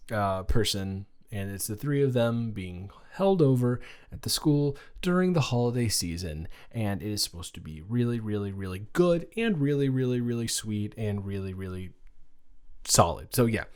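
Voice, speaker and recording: A man's voice: on the low side, a little mellow, natural voice. Flustered, stammering, sweet young college guy, acted by a man. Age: young adult. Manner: rambling, stammering, nervously earnest. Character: flustered, sweet young male college dormmate falling in love. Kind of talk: romantic roleplay audio drama, naturalistic casual chat.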